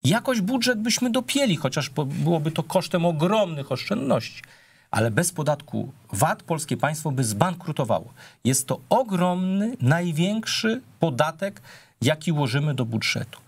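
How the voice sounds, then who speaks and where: A middle-aged man, a politician guest, arguing his case in a TV studio debate, measured and full of hesitations. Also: a little high, very animated, slightly rough. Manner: measured, argumentative, with frequent hesitations.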